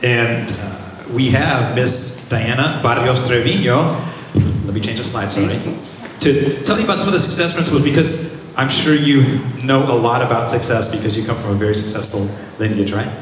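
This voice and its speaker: An upbeat man hosts a stage event, introducing guests and asking them questions. His voice is picked up from a distance in a big hall, with heavy echo and a long reverb. Clear background noise runs underneath. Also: mid-range voice, moderate pace, fairly bright tone. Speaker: event host. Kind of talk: hosting a panel, interviewing. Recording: echoey hall from far off, audible noise.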